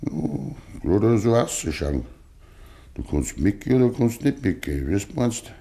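Old Bavarian farmer, 1950s radio play: low, very slow, stubborn but tender. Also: male voice, very animated, creaky, mellow, exaggerated voice. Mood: quiet, confiding. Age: older. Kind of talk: 1950s German dialect radio drama acting.

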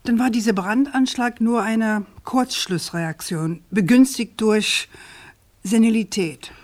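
An actress playing a persistent, probing investigative journalist in a naturalistic radio crime drama, questioning insistently and deliberately. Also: female voice, a little high, darkish tone. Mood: probing, skeptical.

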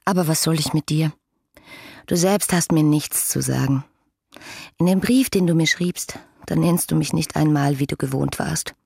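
Low-voiced, yearning romantic young woman. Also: normal speed, vocal fry, dark tone, husky, no echo, clean studio sound, exaggerated voice. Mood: longing, hurt. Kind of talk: radio drama reading of letters.

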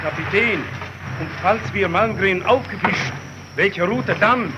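Very high-voiced insistent sailor. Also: male voice, slow. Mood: urgent. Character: eager, insistent sailor on a Soviet icebreaker. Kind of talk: German radio play acting. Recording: muffled, noisy old radio recording.

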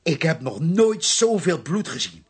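An actor playing a confused, frightened drifter in a radio play, halting and stammering over repeated words in a husky, creaky voice. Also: male voice, a little high, sing-song, exaggerated voice. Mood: frightened, haunted. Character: confused, frightened male drifter confessing to a killing. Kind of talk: Dutch radio play acting.

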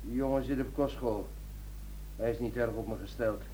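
An actor playing a distraught, confused middle-aged husband in a radio drama, pleading and fixated on the past. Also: male voice, medium pitch, fairly even intonation, moderate pace, mellow, slight background noise, close to an everyday voice, rough recording. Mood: fixated, withdrawn.

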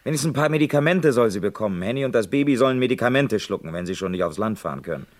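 An actor playing an irritable, broke, wisecracking prison reporter in a 1970s German radio crime play, sarcastic and grumbling. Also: male voice, on the low side, animated, very fast, close to an everyday voice. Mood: weary, confiding.

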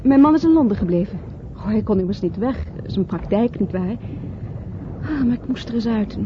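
An actress playing a flirtatious married woman in a radio play, expressive and coaxing. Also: medium pitch, normal speed, natural voice. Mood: bright, sociable. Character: flirtatious married woman fleeing London, pleading then seductive. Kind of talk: Dutch radio play acting. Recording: dull, noisy old radio play recording.

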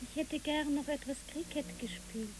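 A woman's voice: high voice, moderate pace, lightly acted, poor audio. A woman playing an imaginative, matter-of-fact child in a poetic radio play, earnest and plain-spoken. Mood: playful, earnest.

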